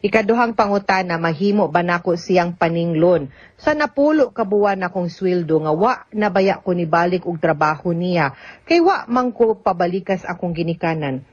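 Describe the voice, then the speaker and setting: A woman's voice: fairly low pitch, lively intonation, normal speed, no echo. Cebuano woman radio host, dull lo-fi sound. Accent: Cebuano Filipino. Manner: conversational, mixes in English terms, tag questions. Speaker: host of a radio advice programme; also a teacher. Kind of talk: radio legal advice, reading letters and answering.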